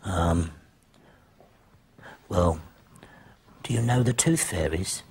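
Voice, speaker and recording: Man playing an excitable wide-eyed little boy. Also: low-pitched, very slow, breathy edge, pitched below the performer's own voice, full character voice. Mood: shy, hushed.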